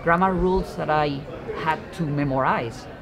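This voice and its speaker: A speaker giving a deliberate, reflective interview answer. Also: medium pitch, gravelly, noisy background. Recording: crowd chatter in background.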